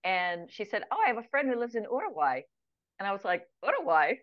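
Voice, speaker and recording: A woman in her sixties tells her story on a video call in a loose, chatty way, full of ums and restarts. Her voice sits at a middle pitch. The audio is muffled and dry, with no room echo. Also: very animated, a little fast. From United States. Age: 60s. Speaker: guest, retired expatriate. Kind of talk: casual interview chat. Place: home room with plants, indoors. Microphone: video call webcam.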